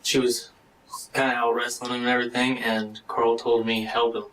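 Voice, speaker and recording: A man giving a halting, matter-of-fact account in a recorded interview. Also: mid-range voice, little pitch movement, fairly bright tone. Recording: distant microphone in a room.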